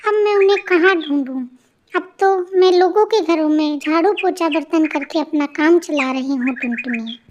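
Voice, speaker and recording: A woman's voice: high voice, normal speed, highs cut off, slight background noise, close to an everyday voice. A woman voicing a lazy, work-shy married female bird in a children's cartoon story, in a complaining, self-pitying manner. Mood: regretful.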